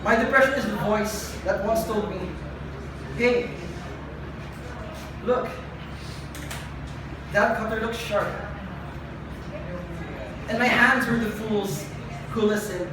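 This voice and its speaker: A young adult poet performing confessional spoken word live, with a dramatic, deliberate delivery and emphatic repetition. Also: mid-range voice. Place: live event venue with room ambience. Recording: echoey live room, distant mic, noisy.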